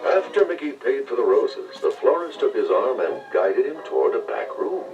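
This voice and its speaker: A man reading scripted children's read-along narration with expressive storytelling delivery. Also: medium pitch, moderate pace, recorded from a distance, muffled recording, music in the background.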